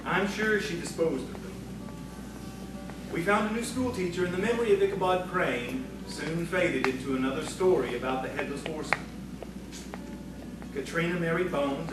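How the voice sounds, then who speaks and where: Reflective frontier townsman for audio theatre, played by a man: earnest, measured, highish voice, distant, over music. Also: natural voice. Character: earnest frontier townsman narrating from his journal. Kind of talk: radio-play narration and acting. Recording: echoey, distant stage recording with music.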